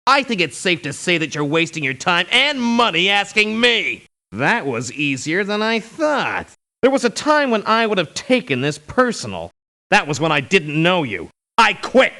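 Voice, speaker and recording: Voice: mid-range voice, very animated, normal speed, pushed and bright. An actor voicing a principled, picky mercenary doctor in a video game, speaking formally and precisely with dry disdain. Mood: stern, disdainful. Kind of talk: video game character voice lines.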